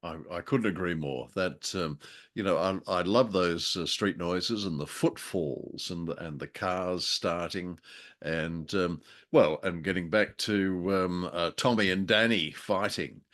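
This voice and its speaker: Low-voiced man, wry and hesitant. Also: a little slow, a bit bright. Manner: hesitant, circling phrasing with wry asides. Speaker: podcast co-host reviewing old radio plays. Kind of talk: casual conversational review.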